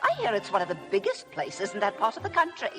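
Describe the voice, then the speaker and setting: An actress playing a bossy, gushing middle-aged society matron with a snobbish air in a vintage radio drama. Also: female voice, medium pitch, wildly swooping pitch, fast talker, crowd noise. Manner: imperious, gushing. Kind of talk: 1950s radio drama acting. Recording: vintage radio broadcast with loud music.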